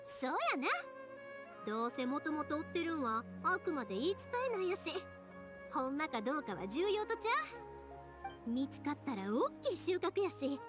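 Perky kind young game heroine, kansai accent, high voice goes all over. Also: female voice, big pitch swings, over music. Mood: upbeat. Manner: brisk, reassuring, informal. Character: spirited, kind-hearted young woman in red kimono. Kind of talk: Japanese anime game voice acting.

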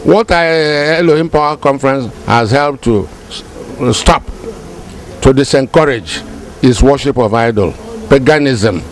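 A man's voice: mid-range voice, measured pace, low-quality recording. A man giving remarks in an interview.

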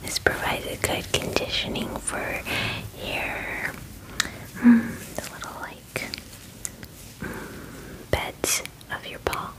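A woman in her twenties whispering softly and soothingly in an ASMR personal-attention roleplay, murmuring reassurances close to the mic. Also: slow, long pauses, poor audio. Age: 20s. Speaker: ASMR creator playing a spa groomer. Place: small dim room indoors.

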